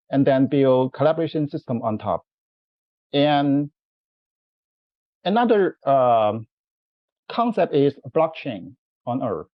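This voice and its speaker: A man from California asking a question as an audience member, hesitant with pauses and restarts while explaining. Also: mid-range voice, no room sound, highs cut off.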